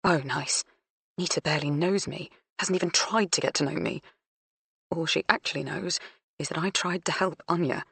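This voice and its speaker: Woman reading as a quiet, guarded young woman. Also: sits low, normal speed, creaky, slightly rough, no echo, exaggerated voice. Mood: wry.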